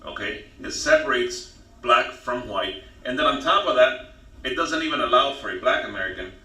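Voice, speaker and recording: A man's voice: medium pitch, animated, moderate pace, bright, forceful tone. A man speaking as a podcast guest, emphatic and preachy, often pressing "okay?" to drive his points home. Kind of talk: casual talk show chat. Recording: roomy and distant.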